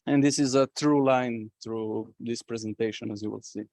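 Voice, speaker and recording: A man's voice: medium pitch, fairly even intonation, moderate pace, a little mellow, no echo. A man from Slovenia giving a conference presentation as a company representative, talking with many fillers and restarts.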